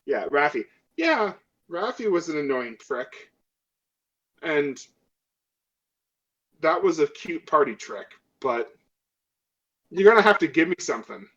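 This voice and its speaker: A man's voice: a little high, sing-song, unhurried, long pauses, a bit bright. Man as a skeptical crewman, muffled lo-fi sound. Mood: argumentative. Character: skeptical, self-interested crew member demanding proof.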